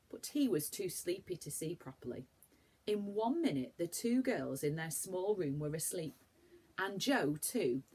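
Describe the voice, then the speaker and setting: A woman with a fairly low, husky voice reads a children's storybook. Her pitch swings widely as she leans on words dramatically, in the manner of a primary teacher addressing a young class. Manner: animated, dramatic emphasis for young listeners. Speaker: primary school teacher reading to pupils. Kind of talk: expressive children's storybook reading.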